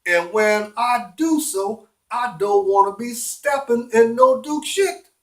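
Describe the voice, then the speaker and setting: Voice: medium pitch, animated, slow, fairly bright tone, voice pushed up. A storyteller playing an irritable homeowner, a man who talks in a blunt, emphatic, indignant way. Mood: firm, annoyed. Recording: roomy, echoing room sound.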